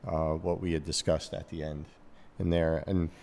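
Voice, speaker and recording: A man co-hosting a casual podcast, talking in a halting way with many restarts as he thinks aloud. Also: a bass voice, little pitch movement, creaky, a little mellow, big-room sound. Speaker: podcast co-host.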